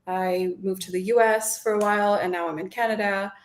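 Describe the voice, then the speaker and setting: A woman's voice: medium pitch, steady tone, fairly quick. Chatty woman in an echoey room. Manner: chatty, laughs easily, many fillers. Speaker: guest. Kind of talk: panel discussion. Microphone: video call. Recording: echoey room on a video call.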